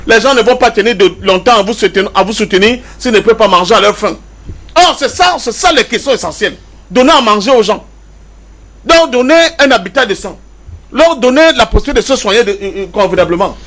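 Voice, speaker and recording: A man with a notably high voice and a Cameroonian accent speaks as a panelist in a political debate. He drives his points home emphatically, repeating key phrases and posing rhetorical questions. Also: animated, fast talker, fairly bright tone. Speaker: panelist in a pan-African debate.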